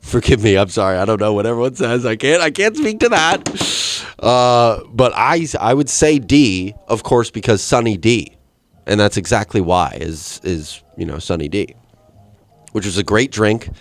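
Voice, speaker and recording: A man in his twenties hosting a podcast, ranting in a rambling, profane and deadpan way. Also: lowish voice, moderate pace. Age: 20s. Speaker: podcast host. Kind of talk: solo comedic rant.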